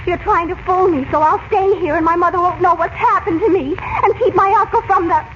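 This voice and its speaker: A woman's voice: extremely high pitch, a little fast. A woman playing a headstrong, emotional young girl with an outspoken, dramatic manner in 1940s radio drama. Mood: hurt, angry. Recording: dull, noisy 1940s radio recording.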